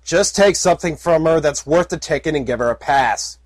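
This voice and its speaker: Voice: mid-range voice, moderate pace, dry recording, lightly acted. A storyteller voicing a stern male guard pony who barks orders. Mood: commanding. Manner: barking, commanding.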